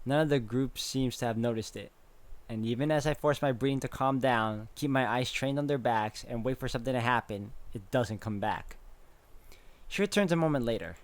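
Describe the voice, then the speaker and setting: A man's voice: medium pitch, moderate pace, lightly acted. A man playing a brooding, uneasy protagonist, narrating a visual novel in a dramatic, introspective first-person style.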